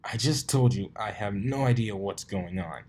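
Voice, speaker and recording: A man's voice: fairly low pitch, moderate pace, darkish tone, husky. A man voicing a cocky young pegasus stallion, angry and confused, with a defiant, blustering manner in an amateur storybook reading.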